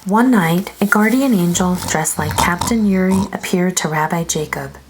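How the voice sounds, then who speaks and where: Expressive woman storyteller, slightly low, noisy recording. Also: moderate pace. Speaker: storybook narrator. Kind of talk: read-aloud children's story narration.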